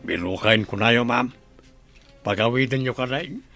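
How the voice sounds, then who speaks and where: Man as a gravelly, plain-spoken hired helper. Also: mid-range voice, normal speed, sharp tone, no room sound, soft background music. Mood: deferential, questioning. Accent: Filipino, Ilocano. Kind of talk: Ilocano audio drama acting.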